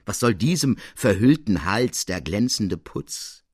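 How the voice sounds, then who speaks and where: A man's voice: fairly low pitch, very animated, measured pace, darkish tone, breathy edge, no echo, studio quality, full character voice. A man voicing an elderly noblewoman with a gracious, playful, courtly manner in a storybook reading. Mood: playfully modest. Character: elderly noblewoman, gracious, teasing, self-deprecating.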